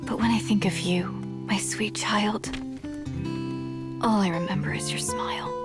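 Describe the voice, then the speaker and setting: A woman playing a devoted young mother in a game, speaking gently and wistfully in a dramatic monologue. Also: mid-range voice, steady tone, a little slow, loud music behind the voice, lightly acted. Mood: tender, wistful. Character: tender, devoted young mother addressing her baby.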